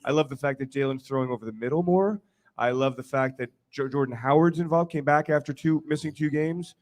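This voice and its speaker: A man's voice: fairly high pitch, a little fast, darkish tone. A man offering casual sports commentary as a panelist.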